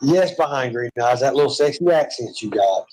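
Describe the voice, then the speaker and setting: A man's voice: medium pitch, expressive, moderate pace, a little mellow, low-quality recording. A man with a Southern US accent, chatting and joking in a rambling, self-mocking way from a hospital room.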